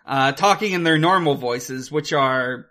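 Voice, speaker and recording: A podcast co-host recapping a film casually, in a hesitant, halting way with searching pauses. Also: medium pitch, bright, forceful tone.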